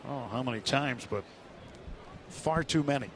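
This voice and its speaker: A man's voice: medium pitch, unhurried, background noise. A man calling a baseball game live on the radio as the play-by-play announcer. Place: stadium broadcast booth.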